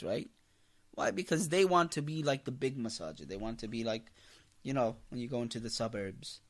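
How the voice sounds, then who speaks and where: A man teaching a religious class as an imam, lecturing with emphasis, repeating key phrases and putting rhetorical questions to his listeners. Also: medium pitch. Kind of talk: impassioned religious lecture to a congregation.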